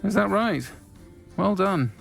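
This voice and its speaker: Video game tavern keeper: gravelly, fairly high, dry, measured and protective, over clear music. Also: male voice, animated, heavily acted. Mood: concerned.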